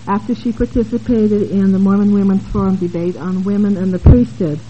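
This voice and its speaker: A woman moderating a conference panel, introducing the speakers in a halting way with many fillers and asides, in a room with an audience. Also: mid-range voice. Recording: dull lo-fi conference tape with faint hiss.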